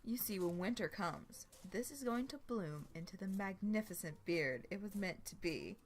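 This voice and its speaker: A woman voicing a prim, stuffy young man who gets flustered, in an animated story reading. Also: medium pitch, moderate pace, slight background noise, close to an everyday voice. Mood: smug, pompous. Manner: pompous, stammering when flustered.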